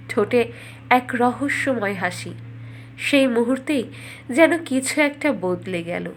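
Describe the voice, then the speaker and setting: A woman's voice: on the high side, a bit bright, slight background noise. A woman reading scripted fairytale narration for a cartoon as a storyteller.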